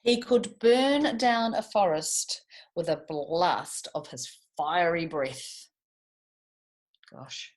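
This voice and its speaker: A woman reading picture books aloud to young children in an expressive way, with chatty asides and little tag questions. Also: on the low side, drawn-out words. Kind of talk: animated picture-book read-aloud with asides to young listeners.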